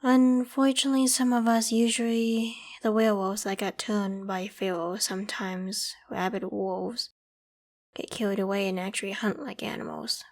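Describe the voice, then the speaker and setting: A woman's voice: medium pitch, flat delivery, moderate pace, close to an everyday voice. A woman voicing a friendly, self-conscious young half-human werewolf who talks casually and protectively to the listener in an ASMR roleplay. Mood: reassuring, explaining. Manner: casual, conversational, gently protective. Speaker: voice actor in audio roleplay.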